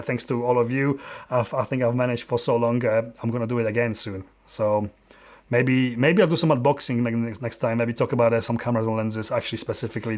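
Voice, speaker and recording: A man in his forties with a Polish accent chats through a live stream from his dim home studio. He talks in a halting, open way, restarting his sentences and filling the gaps as he answers questions. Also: medium pitch. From Poland. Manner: halting, many restarts and fillers, friendly and open. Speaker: photographer and YouTuber hosting a live Q&A. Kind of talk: live-stream Q&A, casual chat.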